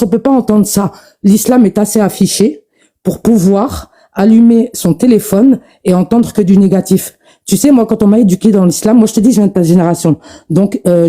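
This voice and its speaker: A woman's voice: medium pitch, dark tone. A woman in her forties, out of breath from exercising, arguing insistently over a phone call on a live stream, with repeated restarts. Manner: insistent, repetitive, stumbling restarts. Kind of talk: heated debate monologue.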